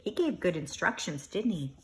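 A middle-aged woman reads and explains a picture book to young children in an expressive, deliberate, instructive way. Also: on the low side, roomy sound, slight background noise, low-quality recording. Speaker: children's story reader and teacher. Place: carpeted or wood-floored living room, indoors.

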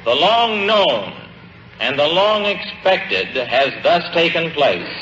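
Slow, high-voiced American clerk reading formally. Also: male voice, very animated, a bit bright. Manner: measured declamatory phrasing. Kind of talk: formal official read-out to an assembly. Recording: dull, noisy 1940s radio broadcast.

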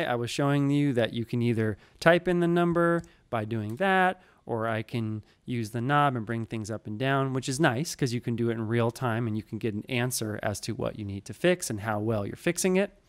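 A man in his thirties walks through studio settings in an easygoing, conversational way. His voice sits at an average pitch for a man and comes through clean on a close studio microphone in a small home studio. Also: normal speed. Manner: relaxed, explanatory, conversational. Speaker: audio engineer teaching. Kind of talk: screen-recorded tutorial. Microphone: studio mic in frame, wearing headphones.

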